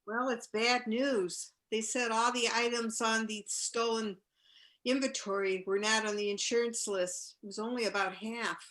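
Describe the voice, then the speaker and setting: Woman as a bright-voiced, long-suffering wife. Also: a little high, steady tone, moderate pace, natural voice. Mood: apologetic, insistent. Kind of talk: campy 1940s radio noir acting.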